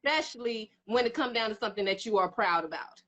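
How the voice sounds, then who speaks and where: A woman's voice: a little high, lively intonation, fast talker, bright, forceful tone, muffled recording. A woman hosting a live interview, bubbly and playful, calling her guest honey and hyping up each answer. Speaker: host. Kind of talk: casual live interview chat. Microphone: phone on a video call.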